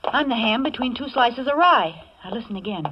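A woman's voice: on the high side, expressive, brisk, dull audio, natural voice. An actress playing a wisecracking, nervous young American working woman who narrates her own danger in slangy 1940s radio suspense style. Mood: tense, wary. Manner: slangy, hard-boiled, wry. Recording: noisy, band-limited old radio recording.

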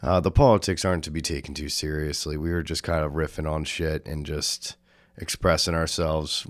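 A young man with a very deep voice hosts a casual podcast. He talks loosely, swears often and jokes around with his guest. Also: little pitch movement, moderate pace. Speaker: podcast host.

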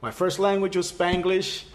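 Blunt miami dude, high voice, swears a lot, chatting easy. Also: male voice, completely flat delivery, a little slow. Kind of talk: casual chat.

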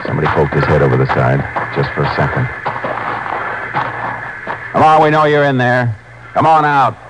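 An actor playing a steady, terse, authoritative Texas Ranger who also narrates his case in a 1950s radio drama. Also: on the low side, loud music behind the voice, natural voice. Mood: stern shouting. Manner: terse, authoritative, unhurried under pressure. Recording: noisy old 1950s radio recording.

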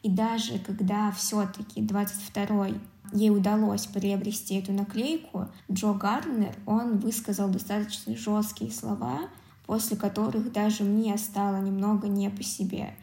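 A woman's voice: medium pitch, steady tone, normal speed, gravelly, mellow. A woman co-hosting a podcast, giving conversational commentary on a film. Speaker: podcast co-host. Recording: roomy, echoey sound.

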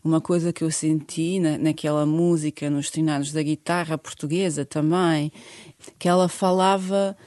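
A woman's voice: sits low, fairly even intonation, moderate pace, dark tone, no echo, clean studio sound. A middle-aged woman from Bosnia, speaking a learned earnestly and searching for words in a radio interview. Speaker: guest singer.

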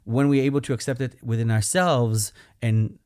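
A man from Belgium with a Belgian accent, a rabbi hosting his show and talking earnestly and personally, with gentle humor. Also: mid-range voice, fairly even intonation, moderate pace, no echo, studio quality. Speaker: rabbi and podcast host. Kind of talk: reflective solo talk.

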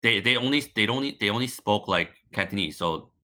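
A young man from Hong Kong talks as a podcast guest, his voice very low yet bright and forward. He speaks casually and halting, with lots of fillers and restarts as he thinks aloud. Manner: relaxed, hesitant, many likes and restarts. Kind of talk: casual interview chat.

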